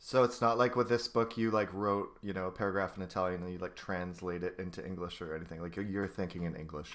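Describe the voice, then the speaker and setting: Low-voiced easygoing male podcast host. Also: steady tone, fast talker, a bit bright. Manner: easygoing, laughs often. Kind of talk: casual chat.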